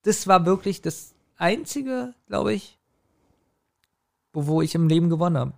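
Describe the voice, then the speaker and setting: A man chatting casually as a podcast co-host, telling personal stories in an animated way and often chuckling. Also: sits high, measured pace, gravelly. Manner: animated storytelling, frequent chuckling. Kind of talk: casual chat, personal anecdotes.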